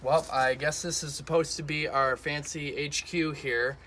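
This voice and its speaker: A man's voice: fairly high pitch, fairly even intonation, measured pace, bright, forceful tone, a little hiss or hum. A man playing a hesitant investigator who muses to himself in an audio drama. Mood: hesitant, musing. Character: hesitant, unimpressed male investigator working alone.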